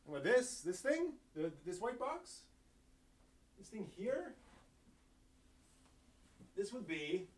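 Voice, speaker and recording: A man hosting a live stream, giving casual unscripted commentary in a halting, rambling way that repeats words and trails off. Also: sits high, very animated. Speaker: live stream host and anime reviewer.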